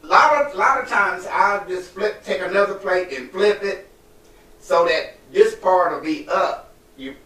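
A man's voice: high voice, measured pace, pushed and bright, rough recording. A man in his fifties, a guest cook chatting in a home kitchen in a folksy, effusive way. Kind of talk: casual cooking show chat. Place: home kitchen and dining room.

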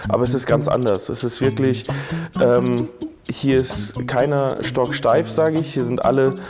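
A man's voice: medium pitch, steady tone, measured pace. A young man giving an informal interview testimonial as a trainee, with an everyday conversational delivery. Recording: clear guitar music behind. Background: plucked guitar music.

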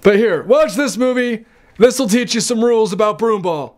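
Man playing a high-voiced exasperated old grandpa. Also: normal speed, very noisy, natural voice. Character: exasperated old grandpa reading a bedtime story. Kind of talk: comedy sketch storytelling.

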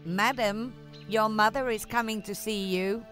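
An actress playing an anxious older nurse in a dramatized storybook, speaking in an emphatic, theatrical way. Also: female voice, mid-range voice, fairly even intonation, a little slow, a bit bright, soft background music, lightly acted. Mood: urgent. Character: Juliet's anxious older nurse and stern Lady Capulet.

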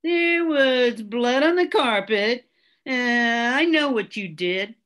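An older woman playing a tough, bitter, blackmailing motel clerk with sardonic, drawn-out delivery in a radio crime drama. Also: fairly high pitch, expressive, a bit bright. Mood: threatening. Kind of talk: 1940s radio drama acting. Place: home room, video call. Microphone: computer mic on a video call.

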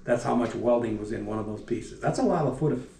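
An older man, a retired factory welder, telling plant stories in a folksy, rambling way that often drops off quietly. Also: mid-range voice, fast talker, creaky, slight background noise. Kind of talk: casual storytelling. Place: dining room at a wooden table. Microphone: camera across the table. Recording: echoey room.

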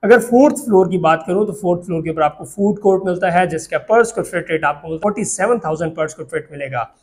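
A young man with a Pakistani Urdu accent presenting property prices to camera in a steady, explanatory sales pitch, recorded indoors in an office on a lapel mic. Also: fairly quick, a little hiss or hum. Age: young adult. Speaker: real estate agent.

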